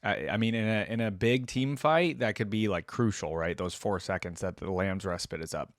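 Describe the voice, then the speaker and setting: A man's voice: on the low side, normal speed, dry recording, studio quality. A man hosting a reaction video, commenting casually and thinking aloud in a tentative, conversational way.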